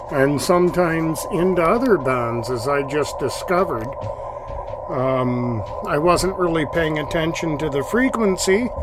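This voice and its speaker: A man giving casual, off-the-cuff commentary as a radio hobbyist, musing and hesitant as he goes. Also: on the high side. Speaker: amateur radio hobbyist, channel host. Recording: loud radio static and beeping behind. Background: shortwave radio noise and tones.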